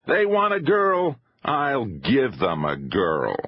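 A man acts out a hard-driving film-maker of thirty-five in a storybook adventure. His voice leaps high and swings widely as he insists and demands, with a husky edge. He is impatient and used to command. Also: very animated, a little slow, highs cut off, heavily acted. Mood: exasperated, insistent. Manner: forceful, emphatic, insistent. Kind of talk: dramatised audiobook dialogue.

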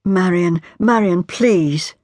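Woman voicing a gentle consoling friend. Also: on the low side, slow, dry recording. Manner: soothing, pleading. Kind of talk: audiobook character voice.

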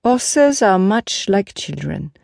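A woman voicing a calm, gently reproving male horse tamer in a storybook, measured, earnest and instructive. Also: medium pitch, gravelly, dry recording, studio quality, exaggerated voice. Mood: patient, instructive.

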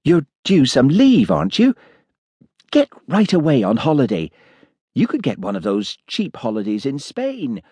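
A man's voice: medium pitch, huge pitch swings, measured pace, dark tone, husky, dry recording, voice pushed up, exaggerated voice. A man voicing a friendly, frank, slightly vague doctor's wife in an audiobook. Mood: concerned. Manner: vague, cheerful, chatty. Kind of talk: audiobook character voice.

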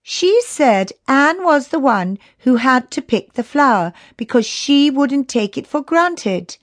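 A woman's voice: high-pitched, big pitch swings, takes it slowly, no echo, voice pushed up, heavily acted. A woman voicing an anxious, caring young girl on an adventure in a children's audiobook. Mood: reminding.

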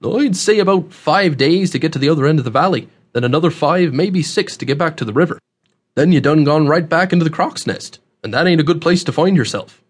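A man's voice: on the high side, animated, a little fast, pitched above the performer's own voice. A man narrating an audiobook, voicing a scruffy, blunt old hermit who talks in a matter-of-fact rustic dialect. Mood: warning.

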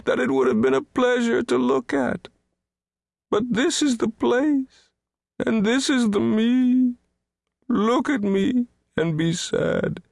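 Shrill, wailing, self-pitying outcast creature. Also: male voice, vocal fry, a little mellow, no echo, clean studio sound, heavily acted. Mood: anguished self-pity. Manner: theatrical howling and sighing, drawn-out lament. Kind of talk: storybook character voice.

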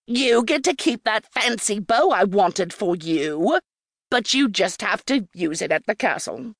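A woman's voice: mid-range voice, expressive, measured pace, no room sound, studio quality. A woman voicing a wily, coarse, mean-tempered old hag in a storybook, brash and scornful. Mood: irritated. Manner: brash, scornful, talks with mouth full.

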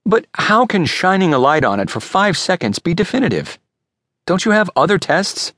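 A man's voice: mid-range voice, expressive, moderate pace, a bit bright, no room sound, lightly acted. A man voicing an anxious, disbelieving male customer in an audiobook, insistent and pleading. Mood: incredulous. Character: Gideon, an anxious, disbelieving male manuscript seller. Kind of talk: audiobook character voice.

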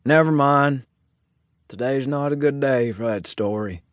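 A man voicing a kindly, easygoing older Old West sheriff in a storybook, with a folksy, hesitant, calming manner. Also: medium pitch, measured pace, no room sound, studio quality, close to an everyday voice.

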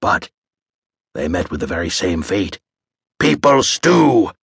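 A man voicing a jolly, booming old bar owner who talks like a pirate with a touch of an Irish lilt. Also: sing-song, takes it slowly, creaky, husky, no room sound, studio quality, full character voice. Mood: theatrical. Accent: Irish-tinged pirate. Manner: dramatic, theatrical, booming.

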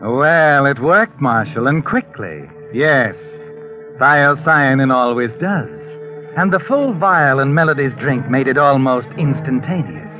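An actor playing a knowing, sardonic narrator of a 1940s radio mystery, taunting and suspenseful. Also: male voice, medium pitch, animated, measured pace, close to an everyday voice. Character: sinister, knowing, all-seeing narrator taunting the schemer. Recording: muffled old radio recording, music behind.

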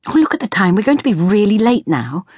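A woman's voice: medium pitch, very animated, fast, darkish tone, husky, no echo, clean studio sound, voice pushed up. A woman voicing a frazzled, sweary mother in a storybook, exasperated and fretting over her son, snapping and shrieking. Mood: anxious, nagging.

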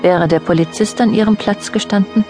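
An actress playing a reflective, introspective narrator in a radio play, speaking in a measured, subdued way. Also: female voice, medium pitch, steady tone, over music, natural voice. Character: reflective female narrator addressing an absent man. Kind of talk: scripted audio drama narration.

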